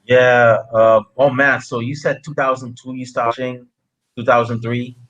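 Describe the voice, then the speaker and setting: A man from Guyana hosting a casual interview, speaking haltingly and often repeating phrases as he goes. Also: medium pitch, little pitch movement, a bit bright, poor audio. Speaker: podcast interviewer. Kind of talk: casual chat.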